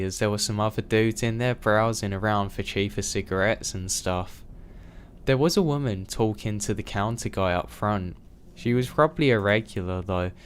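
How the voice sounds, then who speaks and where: A man reading true scary stories aloud in a steady, even first-person narration. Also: on the low side, a little hiss or hum. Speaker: storyteller.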